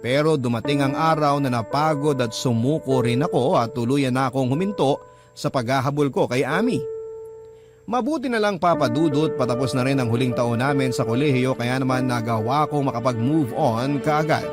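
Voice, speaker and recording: Young male letter-writer narrating his romance, played by a man: confiding, reflective, music clearly underneath. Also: mid-range voice, moderate pace, lightly acted. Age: young adult. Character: young man confiding his lost love story to radio host. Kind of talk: scripted first-person drama narration.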